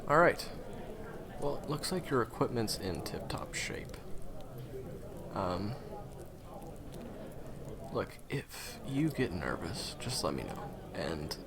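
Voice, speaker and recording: A man playing a friendly, reassuring guide and former hunter in a fantasy game audio roleplay, talking conversationally to the listener with hesitant pauses. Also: fairly low pitch, very animated, creaky, over a noisy crowd, exaggerated voice. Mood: reassuring, helpful.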